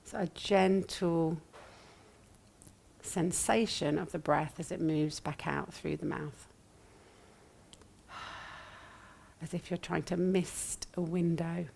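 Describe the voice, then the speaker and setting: A woman with a low, mellow voice guides a meditation. She speaks softly and gently, sometimes breathy, leaving long pauses between short phrases. There is a light creak in her tone that settles into the unhurried teaching. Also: steady tone, a little hiss or hum. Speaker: yoga nidra meditation teacher.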